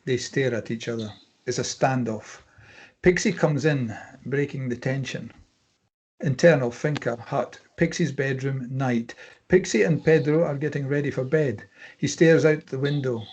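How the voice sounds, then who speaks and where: Man, husky mid-pitched voice, scripted narration, clipped screenplay phrasing. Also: measured pace, darkish tone. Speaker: stage directions reader.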